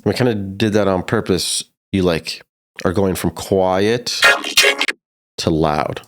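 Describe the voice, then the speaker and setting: A man's voice: low-pitched, slow pace. A man giving a casual, slangy music production tutorial in a laid-back but enthusiastic way.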